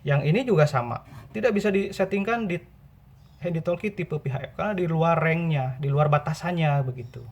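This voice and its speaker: Higher-pitched man explaining informally. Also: brisk. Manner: explanatory, repeats and rephrases points. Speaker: presenter giving buying tips.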